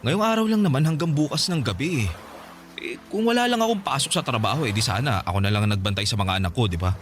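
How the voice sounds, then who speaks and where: A man's voice: medium pitch, lively intonation, fast, soft background music, close to an everyday voice. An actor playing a pleading yet dismissive boyfriend and father in a radio drama, coaxing and insistent, with a Filipino accent.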